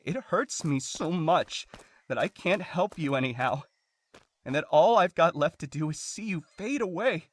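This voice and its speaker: An actor playing a grieving, devoted adult son in a game drama, speaking earnestly and vulnerably. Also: male voice, on the high side, animated, unhurried. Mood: anguished. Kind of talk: emotional dramatic game voice acting.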